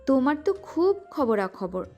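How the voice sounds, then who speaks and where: A shy young woman who scolds and brushes off her admirer, coy underneath, as an actress voices her. Her voice sits high and bright, with music running beneath her lines. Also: sing-song, a little slow, heavily acted. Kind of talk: Bengali audio drama acting.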